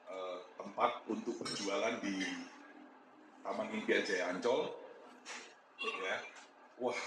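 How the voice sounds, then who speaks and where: A man in his fifties from Malang with a Javanese accent, candidly telling the story of his business to an audience with some chuckles. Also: on the low side. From Malang, East Java. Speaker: business owner giving a talk. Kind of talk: motivational talk to an audience. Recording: echoey room with background noise.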